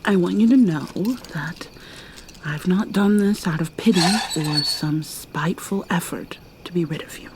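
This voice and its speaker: A woman playing an ancient vampire mermaid in an ASMR roleplay, whispering softly and haltingly with a wistful manner. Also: on the low side, noisy background. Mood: earnest. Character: ancient female vampire mermaid, guarded and sorrowful.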